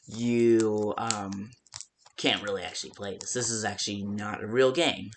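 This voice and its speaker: A man casually improvising phone-call style guide messages for a fan-made game, rambling and haltingly restarting his sentences. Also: lowish voice, fairly even intonation, slight background noise. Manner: rambling, halting, self-interrupting with stammered restarts.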